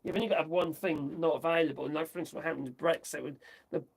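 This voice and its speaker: A man from the UK, chatting his way through the news on a livestream, rambling and tossing in tag questions, his voice going hoarse. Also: a little high, steady tone, rapid-fire, poor audio. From United Kingdom. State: losing his voice. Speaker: livestream host. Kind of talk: casual commentary on news.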